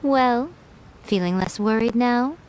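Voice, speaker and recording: A woman's voice: fairly high pitch, slow, noisy background. A woman voicing a sly, self-assured fox-spirit woman in a video game, coy and knowing in her delivery. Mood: teasing. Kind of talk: video game character acting. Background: music.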